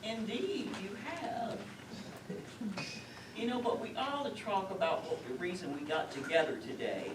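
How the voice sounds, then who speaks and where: A long-suffering, worried older woman played by an actress in a stage play. Her delivery is dry and matter-of-fact but moves widely in pitch, caught from a distance with clear background noise. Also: expressive, a little slow, roomy sound, close to an everyday voice. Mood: dry, resigned. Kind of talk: amateur stage play dialogue. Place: lakeside community theater stage, picked up from afar.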